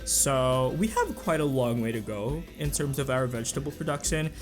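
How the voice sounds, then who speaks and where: A man hosting a casual let's play, talking through his choices with a slightly raspy voice and lots of fillers and restarts. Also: mid-range voice, expressive, normal speed, music in the background. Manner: chatty, thinks aloud with fillers and restarts. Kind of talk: casual gameplay commentary.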